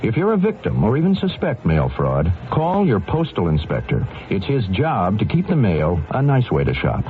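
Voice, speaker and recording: A man's voice: fairly low pitch, wildly swooping pitch, normal speed, hardly any pauses. A man reading a scripted public service announcement as a radio announcer. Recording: tinny old radio, faint noise.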